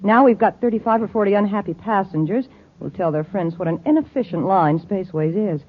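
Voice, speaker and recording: An actress playing a cool, calculating, villainous space-line boss who schemes smoothly in a vintage radio serial. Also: female voice, medium pitch, a little fast, lightly acted. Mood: coolly scheming. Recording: tinny, narrow old radio recording.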